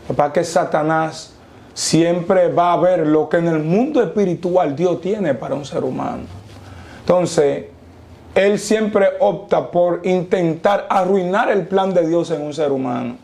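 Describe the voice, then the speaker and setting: A young Dominican man who speaks with a preacher's cadence, slowing down to stress each idea. His voice sits slightly high for a man but sounds dark and mellow, with a gravelly fry at the ends of phrases. He quotes Bible verses at a deliberate pace. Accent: Dominican Spanish. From Dominican Republic. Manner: preacherly, quotes scripture, slow emphatic pauses. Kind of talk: testimony interview.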